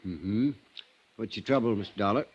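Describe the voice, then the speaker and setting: An actor playing a folksy older small-town constable in a 1950s radio drama, unhurried, dry and laconic. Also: male voice, lowish voice, close to an everyday voice. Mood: dry, welcoming. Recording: noisy, dull old radio recording.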